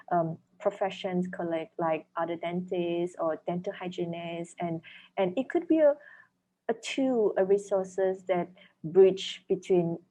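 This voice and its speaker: Brief: Malaysian-accented woman, Chinese first language, slightly low voice, measured and reflective interview guest. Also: mellow, low-quality recording. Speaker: author and dentist guest. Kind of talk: interview answers.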